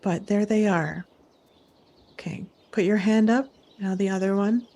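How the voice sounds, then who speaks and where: A woman hosting as a camp director, coaching and storytelling in short, deliberate, encouraging phrases. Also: mid-range voice, steady tone, warm tone. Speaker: host, playing a camp director guiding campers. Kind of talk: guided imagery coaching, storytelling.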